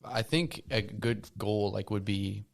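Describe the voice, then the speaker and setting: A man's voice: lowish voice, takes it slowly, gravelly. A man of about twenty, a band member giving laid-back interview answers. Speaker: band musician guest.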